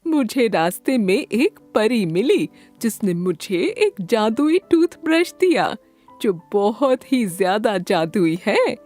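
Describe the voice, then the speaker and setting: A woman voicing a gentle, doting grandmother who coaxes a child affectionately in a children's cartoon story. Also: a little high, sing-song, slow, faint music behind. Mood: persuasive.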